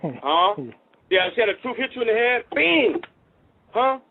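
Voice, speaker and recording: High-voiced man on a tinny phone line. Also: sing-song, unhurried, crowd noise. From Southern California. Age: 30s. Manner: argumentative, lecturing. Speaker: caller. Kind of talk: heated call-in radio debate.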